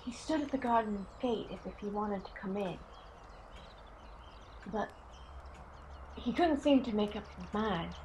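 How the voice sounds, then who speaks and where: A woman acting a slow, fussing older housewife. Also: mid-range voice, animated, darkish tone, a little hiss or hum, close to an everyday voice, poor audio. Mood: anxious, watchful. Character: anxious, superstitious older housewife and mother, fussing. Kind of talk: radio drama acting.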